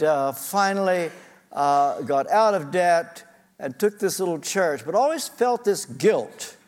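Older male pastor, slightly high voice, earnest storytelling sermon, long church reverb. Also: a little mellow. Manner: earnest, personal, shifting pace with frequent uh fillers.

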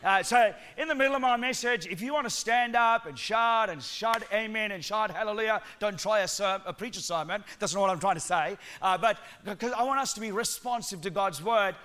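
A man with a Sri Lankan accent mixed with New Zealand, preaching a lively, joking sermon in church and calling on the congregation to respond. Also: high voice, fairly quick, few pauses. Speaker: guest preacher.

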